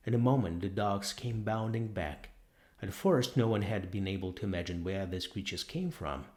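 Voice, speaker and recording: A man's voice: lowish voice, darkish tone. A man narrating a novel as an audiobook, reading scripted prose in a dramatic storytelling style.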